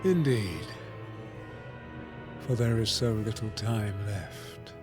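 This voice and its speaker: Slow, solemn god-king. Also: fairly low pitch, expressive, over music. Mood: solemn, commanding. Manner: stately, formal, deliberate. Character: solemn commanding god-king, All-Father of the gods. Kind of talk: fantasy video game voice acting.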